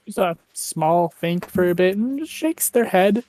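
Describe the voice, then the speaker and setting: A woman running a tabletop game as game master, relaxed and laughing easily. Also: lowish voice, measured pace.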